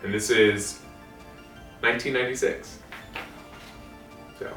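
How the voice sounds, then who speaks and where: A man's voice: mid-range voice, steady tone, slow pace, a bit bright. Echoey, distant man reading from archives. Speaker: host of a co-op history series. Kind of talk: informal history talk, reading from archive documents. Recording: echoey room, distant mic, faint music.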